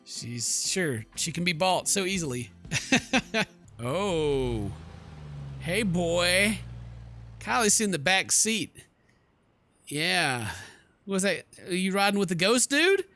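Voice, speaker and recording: A man hosts a gaming video with joking, playful commentary. His voice is bright and forceful and swings widely as he slips into mock voices, with some music behind him. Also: high-pitched, very animated, slow pace, pauses often. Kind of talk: playful let's-play commentary.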